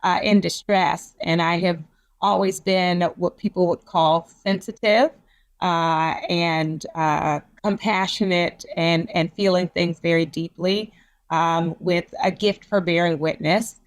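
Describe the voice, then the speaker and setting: A woman's voice: lowish voice, fairly even intonation, slow. A woman psychologist sharing personal history in a reflective, storytelling way as an interview guest. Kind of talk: conversational interview.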